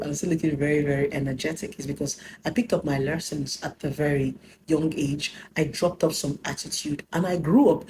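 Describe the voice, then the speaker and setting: A woman's voice: sits low, background noise. A Nigerian woman in her thirties giving an emphatic personal talk, preaching her lessons and slipping into Pidgin. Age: 30s. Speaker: relationship speaker.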